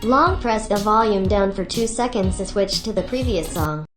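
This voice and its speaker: A woman narrates a scripted how-to in short, clipped instructional steps. Her voice sits at a medium pitch. The sound has a noticeable room echo, with music playing behind her. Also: lively intonation, moderate pace. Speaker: product tutorial narrator.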